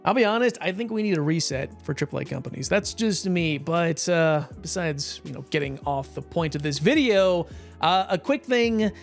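Man, hoarse after a long stream, rambling gameplay commentary, raised pitch, soft music underneath. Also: animated, normal speed. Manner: chatty, rambling, with frequent fillers and short laughs. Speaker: gaming YouTuber.

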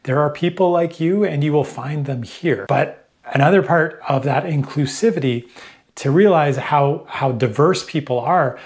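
A man in his fifties, raised in Vancouver with German as his first language, giving relaxed, conversational advice to the camera from a small room at home. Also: fairly high pitch, normal speed. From Vancouver, Canada. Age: 50s. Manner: relaxed and conversational, some restarts. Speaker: vlogger.

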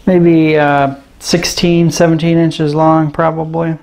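A man giving a casual, hands-on radio review in a room at his desk, talking slowly and filling pauses with ums and uhs. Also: on the high side, flat delivery, creaky, a little mellow. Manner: unhurried, hesitant, many ums and uhs. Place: indoor room at a desk with gear. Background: radio noise and static at times.

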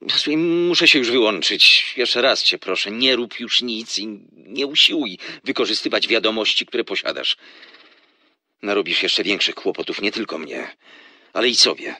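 A man's voice: on the low side, expressive, moderate pace, bright, forceful tone, no room sound, exaggerated voice. A man playing a secretive, earnest caller who pleads evasively. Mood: urgent pleading.

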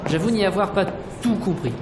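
A woman's voice: medium pitch, animated, a little fast, noisy background, close to an everyday voice. A woman playing an earnest, defensive male scientist of small stature in an audio drama, speaking in formal, wordy phrases. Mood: formal, reserved.

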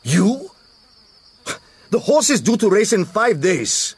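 An actor playing a proud, tight-fisted businessman in a radio drama, husky and pompous, with an indignant manner. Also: high voice, sing-song, takes it slowly, exaggerated voice. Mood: haughty.

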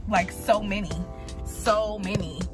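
A woman's voice: medium pitch, takes it slowly, over music. A young adult woman chatting casually to her vlog audience in an informal, rambling, slangy way. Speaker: vlogger, graduate student. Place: at home and inside a parked or moving car. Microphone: phone at arm's length or mounted.

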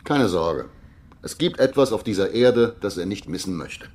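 A man's voice: low-pitched, sing-song, moderate pace, darkish tone, breathy edge, heavily acted. An actor in a radio drama playing a cold, curt, calculating chief of an intelligence agency, a man who does not yield. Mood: cool, calculating. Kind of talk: modern radio drama acting. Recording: long reverb, noisy ambience.

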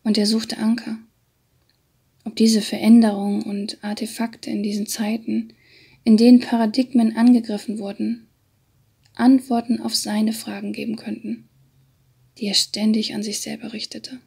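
A woman reading scripted literary narration in a hushed, breathy, half-whispered voice. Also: a little high, flat delivery, normal speed. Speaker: audiobook narrator.

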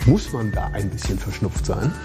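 A man's voice: fairly low pitch, sing-song, normal speed, music in the background. A man hosting a business podcast, upbeat and joking as he leads the conversation. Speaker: podcast host.

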